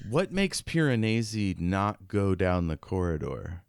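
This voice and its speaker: A man with a low, smooth voice co-hosts a book podcast in an informal, questioning way. The sound is close and dry, and he muses aloud conversationally. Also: very animated, unhurried, dry recording. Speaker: podcast co-host. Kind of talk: casual book discussion.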